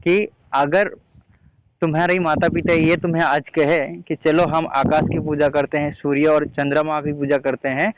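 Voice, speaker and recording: A man's voice: a little high, level tone, normal speed. A man debating in an argumentative way on a phone call, pressing insistently with repeated questions. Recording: muffled phone call recording, noisy.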